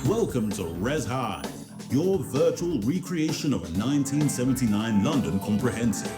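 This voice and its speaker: A man's voice: mid-range voice, sing-song, normal speed, reverberant, music in the background, natural voice. A man voicing a showy, theatrical game announcer for a virtual school in an audio drama. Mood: showy, gleeful. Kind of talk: video game mission announcements.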